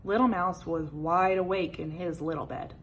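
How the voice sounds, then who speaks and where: A woman reading a children's picture book aloud as an expressive storyteller. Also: lowish voice, normal speed, breathy edge, lo-fi sound.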